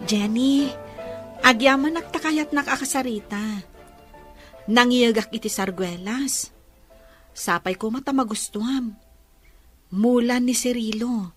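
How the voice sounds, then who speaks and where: A woman's voice: fairly high pitch, unhurried, pauses often, a bit bright, soft background music. A woman acting a kind, forgiving young friend with an Ilocano Filipino accent in a radio drama. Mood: kind, encouraging.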